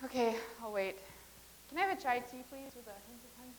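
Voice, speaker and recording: Brief: actress as earnest, matter-of-fact woman rebel from the future, slightly high. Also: quick pace, a little hiss or hum, close to an everyday voice, poor audio. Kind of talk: staged reading.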